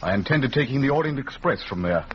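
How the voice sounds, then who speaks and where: An actor playing an earnest, protective gentleman captain with formal, clipped manners in a 1940s radio drama. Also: medium pitch, lively intonation, quick pace, natural voice. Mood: civil, guarded. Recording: muffled, noisy 1940s radio recording.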